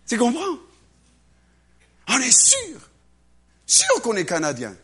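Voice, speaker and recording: A man preaching to a congregation with a high voice that swoops up and down. He acts out little voices as he goes. His delivery keeps swinging between loud bursts and hushed asides. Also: wildly swooping pitch, slow pace, slightly rough. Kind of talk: animated sermon.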